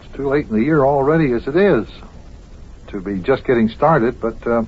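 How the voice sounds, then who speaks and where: A man playing a kindly, patient guardian who also narrates an old children's radio serial in a homey, measured way. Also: medium pitch, expressive, slightly rough. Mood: friendly. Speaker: host and narrator. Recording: very thin, phone-like old radio recording.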